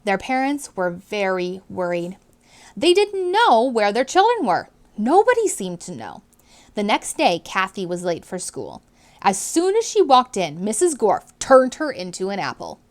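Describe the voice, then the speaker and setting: Casting: female teacher for children's read-aloud, lively pitch movement, dramatic emphasis, speaks directly to young listeners. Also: a little slow. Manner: animated, dramatic emphasis on key words.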